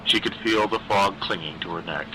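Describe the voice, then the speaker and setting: Bright, forceful man narrating dark fiction. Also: sits low, normal speed, slight background noise, rough recording. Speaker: story narrator, reader. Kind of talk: scripted narration of a dark horror story.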